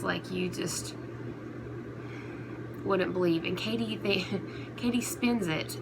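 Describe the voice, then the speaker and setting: Clear background noise sits behind a woman with a mid-pitched voice. She talks off the cuff like a vlogger addressing subscribers, halting and rambling in a conversational way. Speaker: YouTube commentary host. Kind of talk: casual unscripted vlog talk to viewers.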